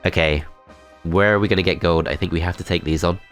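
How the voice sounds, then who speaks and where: A man with a cold and a blocked-up, nasal voice, calmly talking through his moves in a strategy game. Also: low voice, fast talker, faint music behind. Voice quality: nasal, congested. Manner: calm, methodical, thinking aloud. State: has a cold, blocked-up nose. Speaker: gameplay commentator. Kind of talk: strategy game let's play commentary.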